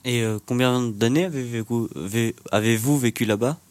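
A man's voice: mid-range voice, moderate pace, a little mellow, clean tone. A male interviewer with a mid-range voice asks polite questions. He hesitates now and then, adding fillers and restarting his questions.

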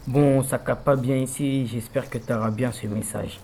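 A man's voice: mid-range voice, fairly even intonation, moderate pace, vocal fry, very noisy, close to an everyday voice. A teenage boy playing a resolute Greek hero who talks like a modern, slangy youth in a school audio drama. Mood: casual, hopeful. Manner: colloquial, slangy.

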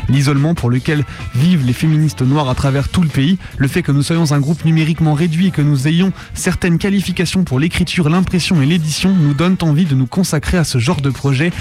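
A man reads a formal written text aloud on radio. His voice is on the high side for a man, with faint music behind it. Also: brisk, hardly any pauses, darkish tone. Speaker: radio reader. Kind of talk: reading a political text aloud. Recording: music under the voice.

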